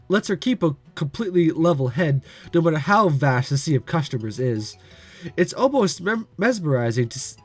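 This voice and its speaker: A man reading a visual novel aloud, lively, clearing his throat now and then. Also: on the high side. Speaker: let's-play reader.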